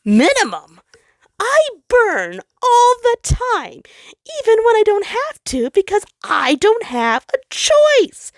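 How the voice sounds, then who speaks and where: A woman's voice: very high voice, wildly swooping pitch, slow pace, no echo, studio quality, pitched above the performer's own voice, exaggerated voice. A woman voicing a heartbroken male star trapped in human form, pleading and crying out in hurt anger in a story reading. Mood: bitter, angry. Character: the Sun as anguished male star in human body.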